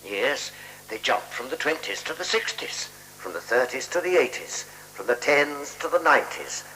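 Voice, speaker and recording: A man's voice: medium pitch, sing-song, moderate pace, sharp tone. A man playing a demanding, suspicious, anxious hotel guest in a 1970s radio play, speaking pompously and insistently. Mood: suspicious, complaining. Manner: pompous, insistent, repeats himself. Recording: noisy, humming 1970s radio recording.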